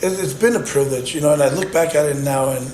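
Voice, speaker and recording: A man with a fairly high voice, guesting on a podcast, talks sincerely, using plenty of fillers and laughing easily. The room sounds echoey, with faint noise underneath. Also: fast, a little mellow. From United States. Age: adult. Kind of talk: casual interview chat. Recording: roomy with faint background noise.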